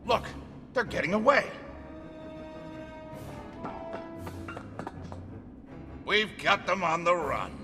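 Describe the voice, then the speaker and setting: A spirited expedition-leading scientist in a game cutscene. He explains eagerly, with lively intonation and a fairly high pitch for a man. Music plays clearly behind him. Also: normal speed. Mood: confident. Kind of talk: video game cutscene acting.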